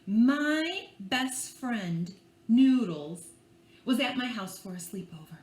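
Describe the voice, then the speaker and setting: A woman reading a picture book to young children in an expressive, playful and theatrical way, drawing out words and adding hushed asides. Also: on the high side, slow pace. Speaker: librarian reading at children's storytime. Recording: echoey room with faint background noise.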